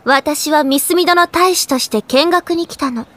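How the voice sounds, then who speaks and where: A woman's voice: high voice, unhurried, fairly bright tone. A woman playing a young girl from a hero's circle of companions in an anime audio drama. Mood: brisk.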